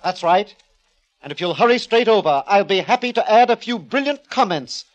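A man's voice: sits high, lively intonation, normal speed, dull audio. A man playing a coolly cutting, condescending art critic in a 1950s radio drama. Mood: brisk, smug.

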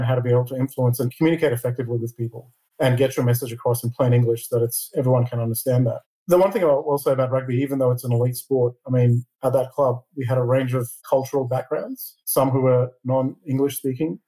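A middle-aged man born in Iran, answering interview questions in a measured way and often repeating a word as he starts a phrase. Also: medium pitch, warm tone, rough recording. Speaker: interview guest, lawyer and board chair.

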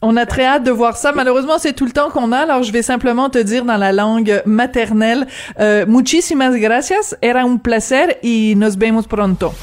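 Measured woman radio host. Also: fairly high pitch. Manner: measured, questioning, hesitant fillers. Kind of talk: radio interview.

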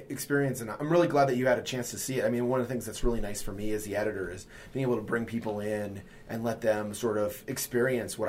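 A man's voice: medium pitch, darkish tone, slight background noise. A man hosting a podcast as the editor, talking casually and hesitantly with many fillers.

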